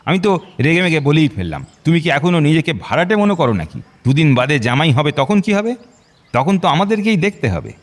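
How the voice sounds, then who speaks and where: A man's voice: on the high side, big pitch swings, a little fast. An actor playing a genial, courteous middle-aged father in an audio drama, talking in a chatty way. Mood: jovial teasing. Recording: reverberant hall, light music bed.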